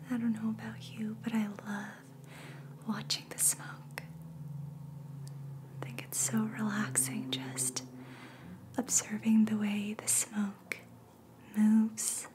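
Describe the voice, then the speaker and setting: A woman doing ASMR as a reiki guide, speaking in a soft, breathy whisper with a gentle, soothing manner. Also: mid-range voice.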